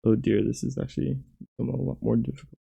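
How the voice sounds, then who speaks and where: Hoarse male game designer, very dark and gravelly, halting self-critical play commentary. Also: mid-range voice, level tone. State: hoarse, losing his voice. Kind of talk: gameplay commentary.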